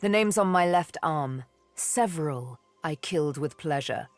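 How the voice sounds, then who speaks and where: A woman's voice: deep voice, animated, measured pace, no echo, faint music behind. A woman playing a cool, sardonic, vengeful elf assassin in a fantasy game, poised, wry and intimate. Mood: cold, vengeful. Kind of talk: fantasy video game acting.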